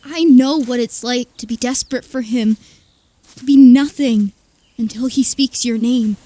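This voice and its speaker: A woman's voice: a little high, a little slow, dark tone, no echo, lightly acted. An actress playing a devoted female follower of Jesus in an audio drama, speaking with earnest emotion. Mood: fervent devotion.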